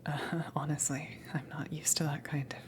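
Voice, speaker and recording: A woman playing a friendly, awkward alien salvage worker in a whispered ASMR roleplay, rambling casually in a soft, breathy, halting way. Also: low-pitched, little pitch movement, background noise, natural voice. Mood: teasing, mildly offended. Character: friendly big-headed, tailed alien salvage crew member, awkward, easygoing.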